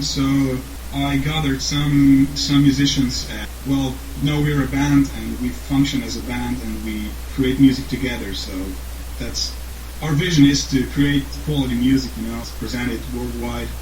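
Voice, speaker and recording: Young Slovene-accented man, unsure and halting, recorded in a reverberant room with heavy noise. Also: medium pitch, fairly even intonation. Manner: hesitant, many fillers and restarts. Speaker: band songwriter. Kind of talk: casual interview answers.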